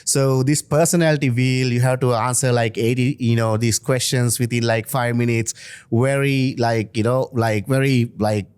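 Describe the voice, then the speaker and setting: A man in his thirties from Sri Lanka talks as a podcast guest at a mid-range pitch. His speech is halting and casual, padded with fillers and restarts. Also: steady tone, darkish tone, clean tone. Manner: halting, many fillers like "you know" and "like". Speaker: guest, founder. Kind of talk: casual interview talk.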